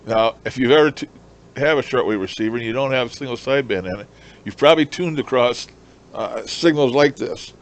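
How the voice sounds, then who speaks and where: Male hobbyist presenter, average pitch, off-the-cuff explainer with repetition, faint background noise. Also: a little fast. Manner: explanatory, repeats himself. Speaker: amateur radio hobbyist, presenter. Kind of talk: casual unscripted demonstration. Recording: clear steady background noise.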